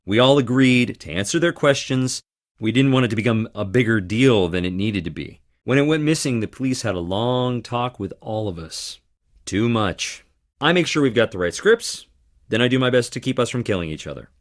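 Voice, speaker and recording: Man playing wry, guarded theatre guy, lively intonation. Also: medium pitch, moderate pace, natural voice. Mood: matter-of-fact. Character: guarded young man, theatre stage hand and actor, wry. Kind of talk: scripted adventure game dialogue.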